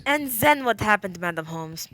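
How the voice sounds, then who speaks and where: A woman's voice: mid-range voice, expressive, normal speed, noisy background, close to an everyday voice. A woman playing a commanding female prefect in a radio play, questioning formally and with authority. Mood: formal, probing. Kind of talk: stage-style radio play acting.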